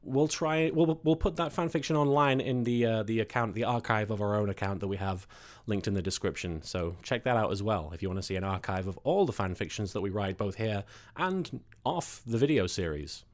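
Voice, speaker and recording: Low-voiced man presenting a show. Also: fairly quick. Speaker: host of a fan fiction reading show. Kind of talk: casual announcements and scripted introduction.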